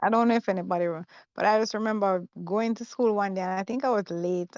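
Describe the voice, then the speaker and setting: Halting Jamaican woman on a dull line. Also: medium pitch, a little mellow, dry recording. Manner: halting, searches for words. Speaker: guest, former student. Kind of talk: casual storytelling on a video call.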